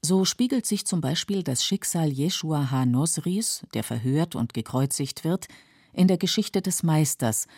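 A woman reading scripted documentary narration for a literary radio feature in a measured, factual, clearly articulated way. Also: low-pitched, a little mellow, no room sound, clean studio sound.

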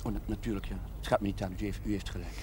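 A man's voice: on the low side, steady tone, rapid-fire, mellow, lightly acted. An actor playing an earnest, awkward male curate in a television period drama, speaking formally and hesitantly. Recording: noisy old television drama soundtrack.

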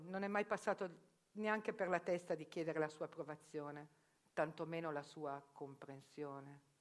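An actress playing an older Albanian sworn virgin who lives as a man, telling her story in a proud, matter-of-fact theatre monologue. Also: on the low side. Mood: defiant. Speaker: actress performing a theatrical reportage.

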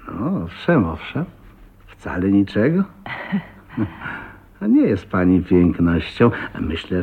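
A folksy, outspoken old millionaire in a radio drama. His low voice is husky and rambles genially, rising and dipping in very lively swings. The recording is narrow and tinny. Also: slight background noise. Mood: blunt, appraising. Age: older. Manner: garrulous, folksy, blunt, with chuckles and exclamations. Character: talkative, blunt, jovial old self-made millionaire father. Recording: muffled thin narrow-band radio recording.

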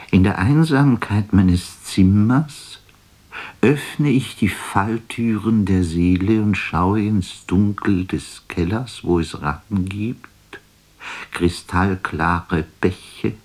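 An actor playing a melancholy, lonely poet in a literary radio drama, speaking in a solemn, introspective and theatrical way. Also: male voice, lowish voice, darkish tone, husky, exaggerated voice.

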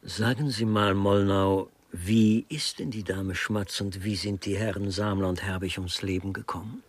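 An actor in a German radio crime comedy, playing a dry, inquisitive artist who explains things pedantically. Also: male voice, low-pitched, fairly even intonation, moderate pace, slightly rough, no echo. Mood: curious, matter-of-fact. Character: dry, inquisitive male artist, knowing about art.

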